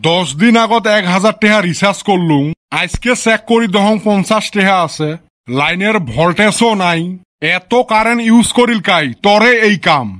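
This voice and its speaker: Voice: mid-range voice, moderate pace, a bit bright, close to an everyday voice. A stingy village elder in a comedy cartoon acted out by a performer scolds and argues in a northern Bengali accent. The sound is dry, without any room echo. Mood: scolding, annoyed. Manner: scolding, argumentative.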